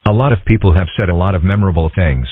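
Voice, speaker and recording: A man voicing dry, understated nerdy schoolboys in an amateur comic-strip dub, with a husky voice. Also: deep voice, racing, no room sound. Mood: dry, mild. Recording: muffled, phone-like lo-fi audio.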